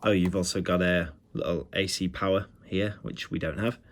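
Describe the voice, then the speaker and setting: A man with a fairly low voice presents a casual, hands-on review. He talks like a host to his viewers, chatty and keen on the little details. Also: steady tone, normal speed. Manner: chatty, enthusiastic about details. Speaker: retro electronics review host.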